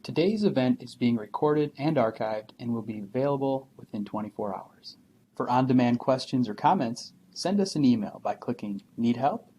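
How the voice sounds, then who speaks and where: A man reads scripted instructions in a smooth, even announcer style. There is a faint hiss behind him. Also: mid-range voice, normal speed. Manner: even, polished announcer reading. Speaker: instructional video narrator. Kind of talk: scripted narration.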